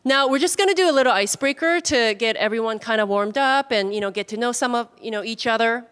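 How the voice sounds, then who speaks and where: A woman's voice: on the high side, fast, a bit bright, smooth. A woman casually leading a group icebreaker in a large hall, chatty with many fillers. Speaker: workshop facilitator. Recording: hall reverb from a room mic.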